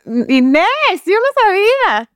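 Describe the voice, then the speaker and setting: A woman's voice: very high voice, very animated, slow pace, dry recording, clean studio sound. A woman hosting a casual interview in an engaged, informal, affirming way. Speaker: podcast host, interviewer.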